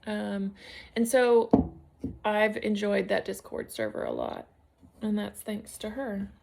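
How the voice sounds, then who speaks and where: Woman, home vlogger, gravelly fry, mid pitch, rambling and unfocused. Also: very animated, slow pace, a little mellow. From United States. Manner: rambling, searching for words, many fillers and restarts. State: concussed, a little unfocused. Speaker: knitting vlogger. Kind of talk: casual solo vlog chat.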